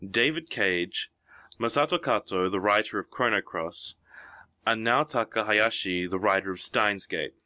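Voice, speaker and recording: Bright, low-voiced man leaving a voicemail. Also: dry recording. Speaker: voicemail caller to a podcast. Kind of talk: prepared voicemail message.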